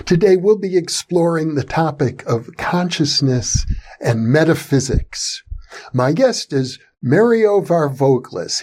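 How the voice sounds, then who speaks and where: A man hosting an interview, introducing his guest in a measured, formal way. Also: on the high side, a little mellow, slightly rough. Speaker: host, interviewer.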